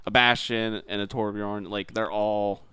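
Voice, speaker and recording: A man's voice: fairly low pitch, flat delivery, measured pace, bright, forceful tone, clean tone, no room sound, clean studio sound. A man co-hosting a podcast in casual gaming chat, informal and opinionated, with a habit of complaining. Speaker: podcast co-host.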